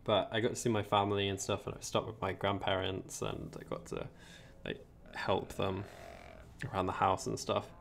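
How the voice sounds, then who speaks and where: A man's voice: deep voice, level tone, normal speed, vocal fry, fairly bright tone, a little hiss or hum. A young English man chatting casually on a gaming livestream, rambling about his week and answering viewers. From England. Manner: chatty, rambling, reads chat aloud. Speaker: streamer.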